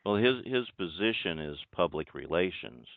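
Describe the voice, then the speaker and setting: A man with a low voice speaks over a dry, narrow telephone connection. His way of talking is formal and deliberate, with an insistent, questioning edge of a caller who wants answers. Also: expressive, no room sound. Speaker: caller introducing himself as a judge. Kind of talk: formal phone conversation. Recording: tinny band-limited phone line.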